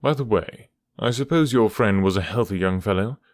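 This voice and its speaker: A man's voice: on the low side, moderate pace, no echo, lightly acted. A man voicing a cool, precise male detective with a dry, ironic manner in an audiobook. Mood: cool, measured. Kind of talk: audiobook character voice.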